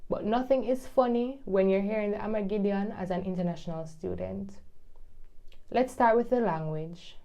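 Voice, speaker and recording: A woman's voice: mid-range voice, a little mellow. Wry young woman vlogging. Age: young adult. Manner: wry, chatty, with patois asides. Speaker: vlogger, international student. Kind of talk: scripted vlog narration.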